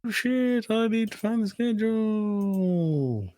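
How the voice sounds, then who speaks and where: A man's voice: sits high, expressive, slow pace, dark tone. A man co-hosting a podcast, chatting casually about films in an irreverent, self-deprecating way. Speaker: podcast co-host. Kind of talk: casual film chat.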